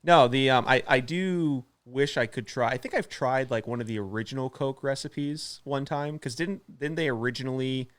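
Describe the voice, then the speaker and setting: A man's voice: mid-range voice, moderate pace, hardly any pauses, dry recording. A man hosting a podcast in casual banter, chatty and often stammering and repeating his words. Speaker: podcast host.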